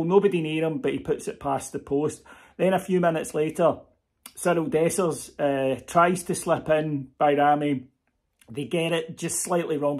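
A man in his forties, hosting a podcast and giving his post-match reaction in an expressive, animated way, with fillers and restarts, recorded on a close webcam at home. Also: on the high side. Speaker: podcast host. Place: home room full of football memorabilia.

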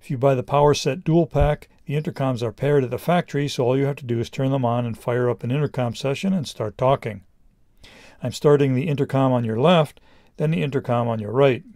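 A middle-aged man reading a scripted product review in a measured, explanatory way. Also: medium pitch, a little mellow, dry recording, studio quality.

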